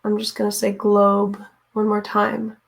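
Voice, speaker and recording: A woman with a raspy, hoarse voice chats casually with viewers while she paints. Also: medium pitch, monotone, a little slow. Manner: chatty, talks to viewers while working, often unsure what to do next. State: hoarse from reading aloud. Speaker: streamer painting live.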